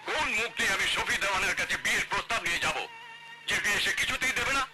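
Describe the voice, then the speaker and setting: A man's voice: sits high, rapid-fire, bright, forceful tone, slightly rough, faint music behind, full character voice. A man acting an impassioned, declamatory role in a family quarrel from a melodramatic film. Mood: stern, outraged.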